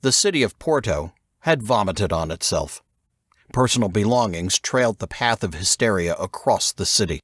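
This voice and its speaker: Low-voiced, expressive male audiobook narrator. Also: no echo, clean studio sound. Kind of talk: scripted narration of a thriller novel.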